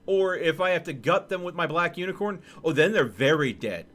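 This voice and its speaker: A man streaming a game, chatting casually with viewers in an animated, joking way. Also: fairly high pitch, brisk. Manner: animated, joking, talks to chat. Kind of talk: casual gameplay commentary.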